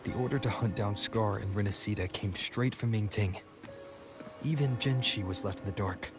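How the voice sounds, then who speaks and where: A man's voice: on the low side, moderate pace, close to an everyday voice. An actor voicing a serious, brooding male hero in terse, grave video game dialogue. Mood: grave, suspicious. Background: game music.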